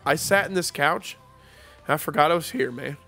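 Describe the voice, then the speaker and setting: A man's voice: on the high side, moderate pace, vocal fry, sharp tone, faint music behind. A young man in casual couch chat with friends, joking in a playful way and sometimes slipping into silly voices. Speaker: gaming house guest. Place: living room couch with others.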